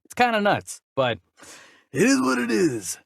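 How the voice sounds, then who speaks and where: A man with a slightly high voice talks movie news as a podcast host. His pitch swings in a lively way and his tone is jokey and irreverent, recorded close and dry. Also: measured pace, gravelly, dry recording. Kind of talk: casual movie news chat, reading articles aloud.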